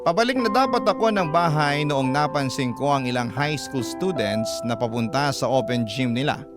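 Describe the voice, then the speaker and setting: A man narrating a first-person letter in a classic radio-program style, steady and storytelling, addressing the host directly. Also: mid-range voice, normal speed. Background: loud music.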